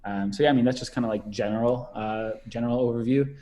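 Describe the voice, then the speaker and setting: A man's voice: medium pitch, fairly even intonation, fast, warm tone. A man in his twenties, a podcast guest talking casually over a video call, rambling with many fillers and repeated words. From Canada. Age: 20s.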